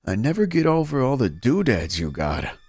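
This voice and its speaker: A man's voice: medium pitch, very animated, moderate pace, a little mellow, husky. A man voicing a cocky, laid-back rich teenage boy who talks in breezy slang. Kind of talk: fan dub voice acting.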